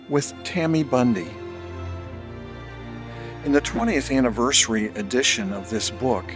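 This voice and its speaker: A man reading a scripted introduction as the announcer of an audiobook. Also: mid-range voice. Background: music.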